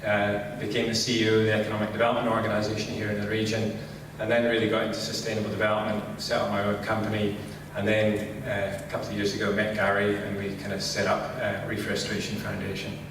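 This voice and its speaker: A man's voice: on the low side, fast talker, background noise. Scottish man speaking in an echoey hall. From Scotland. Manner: relaxed, filler-heavy, says "kind of" constantly. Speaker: conference speaker. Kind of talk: conference talk. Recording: echoey hall, distant mic.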